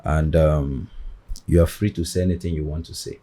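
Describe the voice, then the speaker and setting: A man with a Nigerian accent hosting a studio podcast interview, with a formal, deliberate presenter delivery. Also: very deep voice, fairly even intonation, mellow. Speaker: podcast host.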